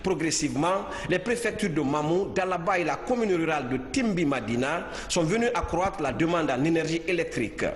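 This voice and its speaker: A man reading a news report voice-over in a measured, formal way. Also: a little high, expressive. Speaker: field reporter. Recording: echoey, roomy reverb with faint noise.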